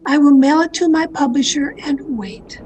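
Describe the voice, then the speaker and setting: An actress playing a jealous, murderous mystery novelist in a radio drama, with deliberate melodramatic delivery over a home call recording. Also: female voice, high voice, a little mellow, a little hiss or hum, lightly acted. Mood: resigned confession. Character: jealous, murderous woman mystery novelist confessing her crimes. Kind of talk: dramatic first-person radio mystery narration. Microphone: video call.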